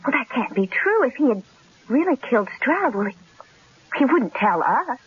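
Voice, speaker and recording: A woman's voice: high-pitched, sing-song, normal speed, slightly rough, exaggerated voice. An actress playing a frightened, pleading woman held hostage in a radio drama, anxious and emotional. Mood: disbelieving fear. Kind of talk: 1970s radio drama acting. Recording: dull, lo-fi old radio broadcast.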